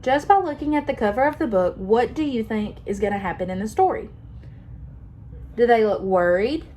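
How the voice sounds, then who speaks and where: A young woman reading a picture book aloud to children, expressive and pausing to ask them questions. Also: a little high, slight background noise. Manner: expressive, asks listeners questions. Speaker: children's story reader.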